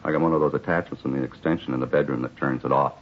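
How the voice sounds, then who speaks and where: A man acting a weary, put-upon bar employee in a 1950s radio drama, rambling along plainly in an American accent with a husky voice. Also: rumbling low, steady tone, very fast. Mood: worried, weary. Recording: muffled 1950s radio recording.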